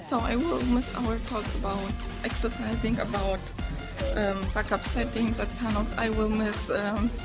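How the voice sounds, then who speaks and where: A woman with a Polish accent speaks in a slightly high voice, teasing and a little hesitant as she works through a small card of farewell notes. Music plays clearly beneath her. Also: steady tone, a little slow. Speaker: colleague giving a farewell message. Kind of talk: casual farewell message to camera.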